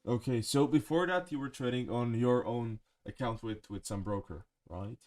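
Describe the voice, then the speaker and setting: A man's voice: mid-range voice, moderate pace, a little mellow. A man hosts an interview in English. He is friendly and keeps the questions going. He leans on "uh" between phrases as he talks. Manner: friendly, asks questions with frequent "uh" fillers. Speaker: interview host. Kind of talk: hosted interview over video call.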